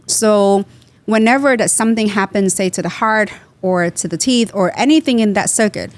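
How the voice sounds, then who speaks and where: A woman's voice: mid-range voice, moderate pace. A woman from Vietnam, a guest dentist, explaining her practice in a podcast interview with an earnest, teaching manner and loose grammar. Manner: explanatory, earnest, drops small grammar words.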